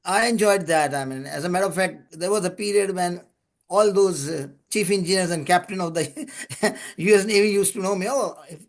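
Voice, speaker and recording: An older man from Pakistan, an English speaker, telling long stories of his career in a relaxed way with small chuckles and restarted words. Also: high voice, normal speed. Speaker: guest, lubrication consultant. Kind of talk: podcast interview storytelling.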